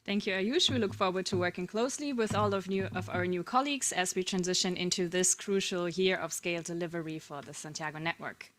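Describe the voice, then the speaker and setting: Woman co-chair, formal and measured, running a board meeting. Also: on the low side, a bit bright. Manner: measured, formal, reads prepared remarks.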